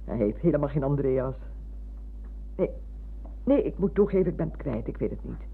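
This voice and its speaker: Husky, fussy elderly schoolmistress. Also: female voice, a bass voice, lively intonation, moderate pace, heavily acted. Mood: puzzled. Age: older. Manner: rambling, fussy, self-interrupting. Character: chatty elderly retired schoolmistress, fussy, sentimental wife. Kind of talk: radio play acting. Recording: muffled phone-like old radio recording.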